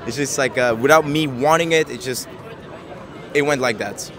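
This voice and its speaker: Soft-spoken young man on a busy street. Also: medium pitch, normal speed. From Japan. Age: 20s. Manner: soft-spoken, hesitant, many fillers, laughs easily. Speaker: interviewee. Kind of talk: casual street interview. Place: busy city square outdoors. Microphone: handheld mic. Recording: busy street crowd behind.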